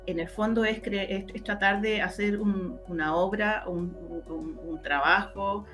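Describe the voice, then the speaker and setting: A woman's voice: medium pitch, steady tone, unhurried, a bit bright, soft background music. A woman with a Chilean accent talking reflectively and expressively in an interview, often repeating words for emphasis. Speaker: interviewee, radio drama and audio story producer. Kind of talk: conversational interview.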